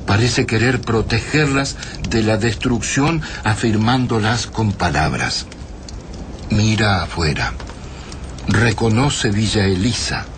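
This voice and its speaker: A man reading tender, contemplative, poetic narration for a radio drama. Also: lowish voice. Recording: faint ambient noise behind voice.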